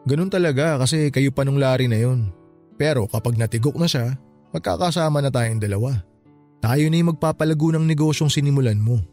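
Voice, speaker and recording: Man playing smooth-talking secret lover, Filipino, warm relaxed timbre, scheming, radio serial acting, soft music. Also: medium pitch, a little fast, lightly acted. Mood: coaxing, scheming. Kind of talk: Tagalog radio drama acting.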